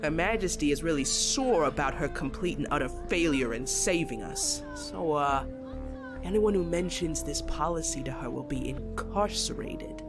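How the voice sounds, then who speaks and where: An actor plays a menacing monster with a dry, sardonic streak. The voice is mid-pitched and deadpan, like a grim announcement in a comic dub. Music is clearly audible behind it. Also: normal speed, lightly acted. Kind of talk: dramatic scripted acting. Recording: background music under the voice.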